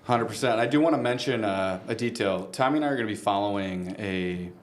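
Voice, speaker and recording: A man with a fairly low voice hosts a podcast conversation. He talks in long, measured phrases and pauses deliberately as he goes. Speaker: podcast co-host and golf business co-founder.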